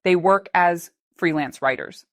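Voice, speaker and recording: A woman narrating a scripted English lesson as the teacher, speaking slowly and carefully with clear, deliberate articulation. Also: fairly low pitch, dry recording, studio quality.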